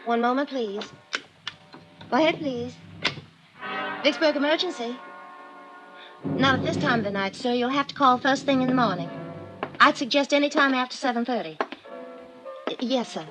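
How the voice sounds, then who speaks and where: An actress playing a brisk, officious hospital switchboard receptionist in a 1950s radio drama, formal and businesslike. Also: high-pitched, music in the background, natural voice, poor audio.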